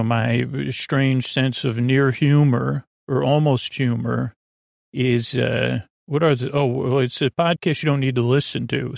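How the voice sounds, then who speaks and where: A man with a creaky, soothing voice, hosting a bedtime podcast in a halting, meandering monologue full of tangents. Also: medium pitch, darkish tone, no echo, clean studio sound. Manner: lulling, soothing. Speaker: podcast host.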